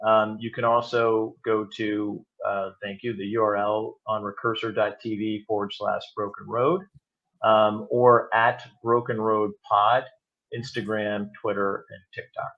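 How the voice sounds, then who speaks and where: Low-voiced man, halting interview talk. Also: flat delivery. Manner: halting, roundabout phrasing. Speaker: podcast guest, audio drama producer and director. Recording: slightly dull, lo-fi sound.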